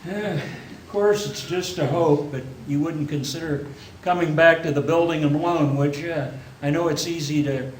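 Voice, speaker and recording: Man playing gentle father, echoey stage. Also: on the high side, steady tone, slight background noise, natural voice. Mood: fatherly, hopeful. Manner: measured, fatherly. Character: gentle, principled older father running a modest business. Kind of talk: stage radio play acting. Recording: echoey theatre, faint music behind.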